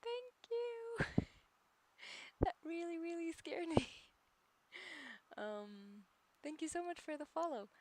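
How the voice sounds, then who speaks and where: A woman's voice: mid-range voice, a little hiss or hum. A woman hosting a casual live stream, chatting hesitantly with viewers and laughing often. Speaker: livestream host studying along.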